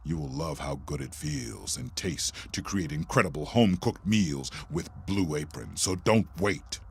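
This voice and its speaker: A man's voice: low voice, animated, measured pace, vocal fry, slight background noise. A man reading a scripted podcast sponsor spot with playful asides.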